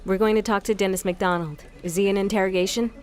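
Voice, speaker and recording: A woman's voice: mid-range voice, steady tone, quick pace, a little mellow, a little hiss or hum, lightly acted. A woman playing a composed, inquisitive police inspector in an audio crime drama.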